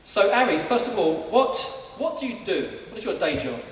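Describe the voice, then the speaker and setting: A man's voice: high voice, sing-song, normal speed. Echoey male interviewer in a big hall. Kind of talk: informal live church interview. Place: large church hall, indoors. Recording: echoey church hall, distant mic, faint noise.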